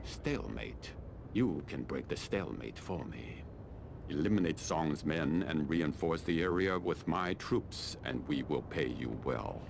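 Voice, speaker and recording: A stern, calculating army colonel from China for a video game. His voice is extremely deep with a gravelly creak. He speaks formally and deliberately, with haughty authority, over clear rumbling noise. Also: animated, full character voice. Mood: measured, imperious. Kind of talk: scripted video game dialogue.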